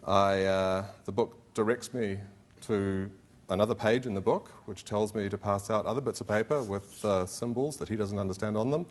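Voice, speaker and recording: A man's voice: on the low side, moderate pace. A middle-aged man giving a philosophy conference talk, conversational and hedging, with many fillers and restarts. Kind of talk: academic conference presentation.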